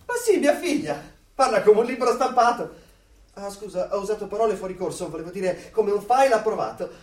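An actor playing a chatty, smug, middle-aged neighbour, a party loyalist who insinuates and gets excitable, in theatrical radio drama style. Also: male voice, sits high, fairly quick, distant mic. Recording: roomy echo, off the microphone.

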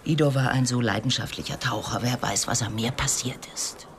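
An actor in a radio drama playing an exasperated colleague who argues emphatically and insistently, often breathy. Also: medium pitch, moderate pace, slight background noise, natural voice.

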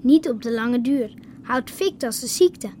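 A woman's voice: fairly high pitch, lively intonation, a little slow, a little mellow, a little hiss or hum. A woman voicing an outspoken, opinionated young island girl in a radio play. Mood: firm. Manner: firm, outspoken. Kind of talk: radio drama acting.

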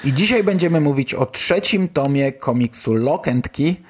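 A man's voice: medium pitch, animated, normal speed, breathy edge. A man with a Polish accent presenting a podcast introduction as its host. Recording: loud music over the voice. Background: loud music.

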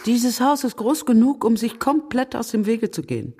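A woman playing a brisk older spinster aunt in a radio crime drama, confiding family matters matter-of-factly. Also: a little high, sing-song, darkish tone, breathy edge, full character voice.

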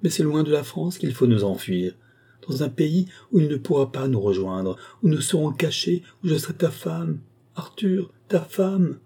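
A man voicing an anguished, passionate, husky wife. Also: medium pitch, expressive, moderate pace, mellow, pitched above the performer's own voice. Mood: passionate pleading. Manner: melodramatic, imploring. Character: anguished, passionate adulterous wife, pleading and desperate.

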